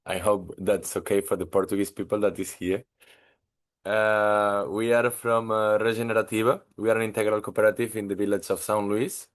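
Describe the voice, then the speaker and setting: A man from Spain introducing his cooperative on an online webinar, enthusiastic and a little halting. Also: fairly low pitch. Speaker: cooperative representative.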